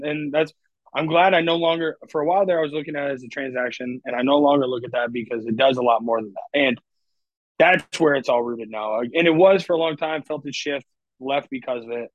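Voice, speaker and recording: A man's voice: medium pitch, fairly even intonation, a little fast, no room sound. A man in his twenties, a podcast guest talking candidly and rambling, filling his speech with "like". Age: 20s. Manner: candid, rambling, heavy use of "like". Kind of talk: casual podcast conversation. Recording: dry, dull lo-fi audio.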